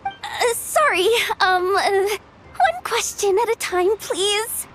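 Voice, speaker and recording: A flustered young woman organizer from a video game, with an extremely high voice that swings about. She sounds overworked, hesitant and apologetic, at an unhurried pace. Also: lively intonation, a bit bright, soft background music, heavily acted. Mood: overwhelmed.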